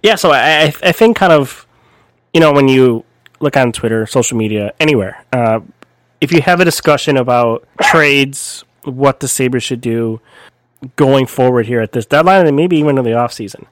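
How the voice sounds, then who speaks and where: Podcast guy getting over a cold, bit raspy, rambly, dry close mic. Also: mid-range voice, animated, normal speed, no echo. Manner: rambling, many fillers and restarts. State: recovering from a cold, slightly raspy. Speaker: podcast co-host. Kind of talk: casual sports podcast chat.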